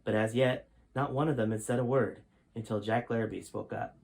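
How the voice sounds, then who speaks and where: A man's voice: mid-range voice, fairly even intonation, brisk, creaky, darkish tone. A man narrating his own novel in a plain reading voice, with occasional slips and restarts. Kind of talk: storybook narration.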